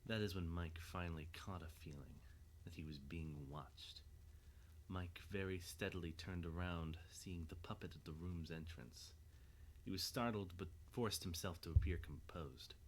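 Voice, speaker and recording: Low-voiced male storyteller. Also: normal speed, a little hiss or hum. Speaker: narrator. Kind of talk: scripted audio drama narration.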